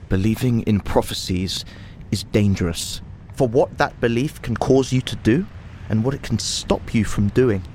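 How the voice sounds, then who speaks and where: A man's voice: normal speed, darkish tone, natural voice. A man playing a cynical, stern rebel mentor in a fantasy audio drama, blunt and scornful. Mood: contemptuous, grave. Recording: faint background rumble.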